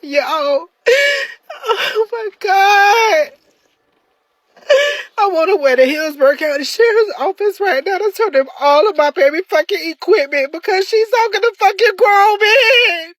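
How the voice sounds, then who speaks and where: A distraught mother cries and rants, her voice pitched very high and her words coming slowly and heavily. She curses and repeats herself through the sobs, and the sound is close and dry, with no room echo. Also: animated. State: crying. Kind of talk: emotional vlog rant.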